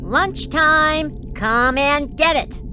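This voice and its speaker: A woman voicing an excitable, theatrical robot helper in an educational cartoon game. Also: high-pitched, very slow, music in the background. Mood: cheerful. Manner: theatrical, exclamatory. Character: excitable, melodramatic robot helper of young spies.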